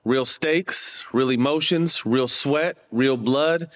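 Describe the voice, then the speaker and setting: A man's voice: mid-range voice, slow pace, a bit bright. A man reading a scripted sports promo in a dramatic, emphatic way. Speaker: promo announcer.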